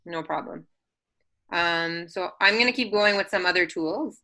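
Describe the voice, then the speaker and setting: Bright-voiced woman demonstrating software. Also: lowish voice, normal speed. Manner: explanatory, frequent ums and self-corrections. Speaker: assistive technology presenter.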